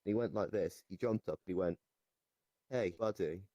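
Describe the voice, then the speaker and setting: A man playing a deranged goblin storyteller in an improvised comic interview, rambling haltingly and nonchalant about absurd claims. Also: low voice, warm tone, dry recording. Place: virtual reality voice chat.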